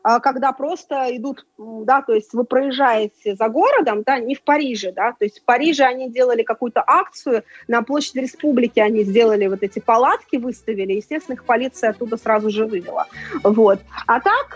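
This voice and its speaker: A middle-aged woman from Russia with a Russian accent, chatting as an interview guest and tour guide in a casual, talkative way. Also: fairly high pitch.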